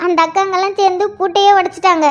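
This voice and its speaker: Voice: very high voice, flat delivery, racing. A performer voicing a chatty schoolgirl eagerly telling her sister about school, on a dull lo-fi recording. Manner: eager storytelling. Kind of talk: one-person comedy skit.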